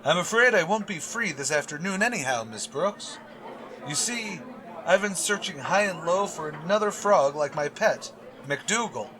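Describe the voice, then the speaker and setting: A man's voice: fairly high pitch, lively intonation, normal speed, pushed and bright, some chatter behind. A man playing a shy, oblivious biology teacher in an old-time radio comedy, speaking in a mild, hesitant, earnest way. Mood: puzzled, earnest.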